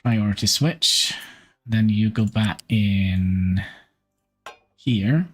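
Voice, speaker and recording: A man's voice: lowish voice, soft background music. Man thinking aloud over gameplay. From Norway. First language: Norwegian. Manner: thinking aloud, halting with fillers. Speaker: streamer. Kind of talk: live gameplay commentary.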